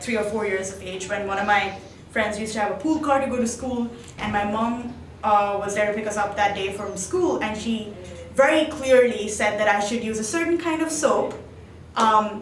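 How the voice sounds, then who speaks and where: A young woman from India giving articulate, reflective answers as a student in an interview. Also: medium pitch. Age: young adult. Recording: roomy, distant mic, faint noise.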